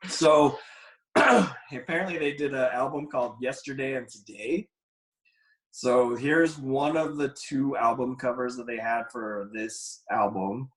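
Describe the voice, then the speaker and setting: A man hosting a casual podcast, presenting to the group while losing his voice and clearing his throat. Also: medium pitch, unhurried. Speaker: podcast host. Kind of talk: casual panel talk, presenting slides. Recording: echoey room.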